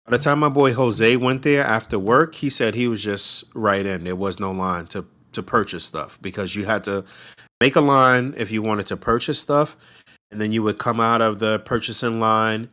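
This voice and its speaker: A man's voice: lowish voice, moderate pace, sharp tone. A man co-hosting a podcast in casual, profane, laughing banter. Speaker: podcast co-host. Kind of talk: casual chat.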